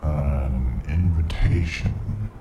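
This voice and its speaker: An actor playing a brooding, menacing monster from another dimension in an audio drama, speaking formally and ponderously. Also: male voice, rumbling low, drawn-out words, dull audio, background noise, exaggerated voice. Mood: wary, suspicious. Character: brooding, menacing interdimensional monster with vast senses. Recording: echoing voice under music.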